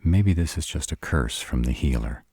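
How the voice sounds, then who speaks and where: A man's voice: a bass voice, lively intonation, moderate pace, dark tone, slightly rough, no room sound, clean studio sound, heavily acted. A man playing a sarcastic, irritable vampire in an intimate roleplay audio drama, grumbling and dramatic in his delivery. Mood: dismayed, sardonic. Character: sarcastic irritable male vampire, stranded in a human's home.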